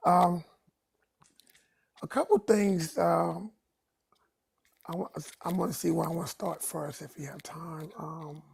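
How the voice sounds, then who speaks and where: Raspy-voiced man in his sixties from Harlem. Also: a little high, fairly even intonation, slow, long pauses, a little mellow. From Harlem, New York. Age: 60s. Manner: rambling, earnest, frequent restarts and "you know". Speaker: caller on a live stream. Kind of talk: personal story told on a call-in stream.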